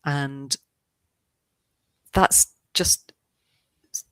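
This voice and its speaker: A woman's voice: rumbling low, extremely slow, pushed and bright, clean tone, no room sound. Enthusiastic woman, dry close voice. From Switzerland. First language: English. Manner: enthusiastic, rambling with restarts. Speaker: podcast guest. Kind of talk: casual interview chat.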